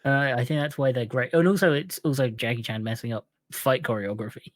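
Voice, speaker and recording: A man's voice: mid-range voice, brisk, no room sound, studio quality. A man co-hosting a podcast, casually explaining his opinions about films in a conversational way. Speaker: podcast co-host. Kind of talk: casual movie discussion.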